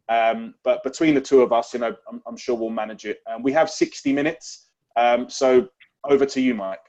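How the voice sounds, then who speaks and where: Male host, mid-pitched voice, polite and organizing, muffled lo-fi web audio. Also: darkish tone. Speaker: webinar host. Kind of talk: introducing a webinar.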